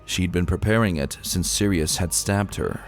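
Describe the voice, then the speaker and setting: A man giving a dramatic, solemn and measured reading of a dark fantasy story. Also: low voice. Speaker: narrator reading fan fiction. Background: music.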